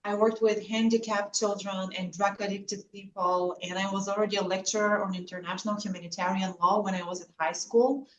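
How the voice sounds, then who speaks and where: A woman from Bulgaria speaking as an expert interview guest, giving an earnest, measured account of her own background. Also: mid-range voice, level tone, lo-fi sound. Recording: roomy, distant mic with faint noise.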